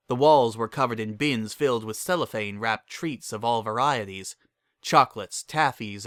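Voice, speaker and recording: A man's voice: medium pitch, fairly bright tone, no room sound, clean studio sound. A man reading scripted dramatic story narration as a narrator.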